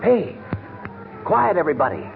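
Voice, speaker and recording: Blustering, excitable American know-it-all husband. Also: male voice, on the high side, moderate pace, gravelly, over loud music, exaggerated voice. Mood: bossy, excited. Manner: bossy, folksy, excitable. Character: blustering, self-important small-town husband playing fire commissioner. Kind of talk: 1940s radio comedy acting. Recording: very noisy narrow-band 1940s radio broadcast.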